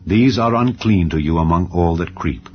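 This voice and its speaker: A man with a notably deep voice recites scripture slowly and solemnly, each clause weighed. The sound is slightly dull, with quiet music playing underneath. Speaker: Bible reader. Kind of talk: dramatized scripture reading. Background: faint background music.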